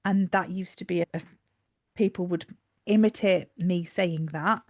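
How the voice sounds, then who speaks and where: A woman's voice: lowish voice, fairly even intonation, unhurried, darkish tone, no room sound, clean studio sound. A woman with a Yorkshire accent, co-hosting a casual podcast chat and explaining her thoughts with fillers and restarts. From Yorkshire, England. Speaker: podcast co-host.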